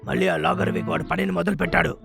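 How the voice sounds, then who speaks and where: A bossy playful big brother bear in a cartoon, super creaky, raised pitch. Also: male voice, level tone, rapid-fire, music in the background. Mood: cautioning, bossy. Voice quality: gravelly, creaky. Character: sensible, mischievous elder brother cartoon bear. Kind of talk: cartoon dub acting.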